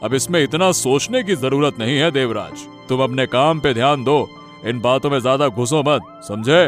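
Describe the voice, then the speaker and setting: A man voicing a fair-minded king in a cartoon moral story, unhurried, paternal and firm. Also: medium pitch, faint music behind, close to an everyday voice. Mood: firm, dismissive. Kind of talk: animated moral story acting.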